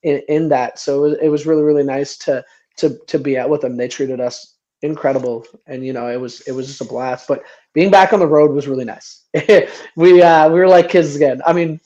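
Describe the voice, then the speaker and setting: A man's voice: fairly high pitch, brisk, mellow. Forties guy from canada rambling on a radio interview, laughs a lot, kinda muffled audio. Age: 40s. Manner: rambling, restarts often, laughs easily. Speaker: band member guest.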